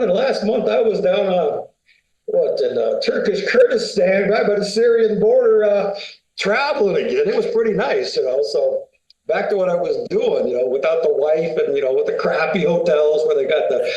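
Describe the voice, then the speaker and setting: High-voiced man telling rambling stories. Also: expressive, moderate pace, mellow, reverberant, lo-fi sound. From United States. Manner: rambling, story-telling, many fillers. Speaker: winery founder, guest. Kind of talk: casual interview talk.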